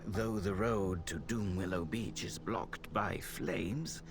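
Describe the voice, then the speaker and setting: A man playing a grave, compassionate, scholarly temple healer in a video game, with formal, thoughtful, measured delivery. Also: low-pitched, noisy background. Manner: solemn, formal, thoughtful, old-fashioned diction. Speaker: video game character voice actor. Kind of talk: scripted game dialogue, dramatic and measured.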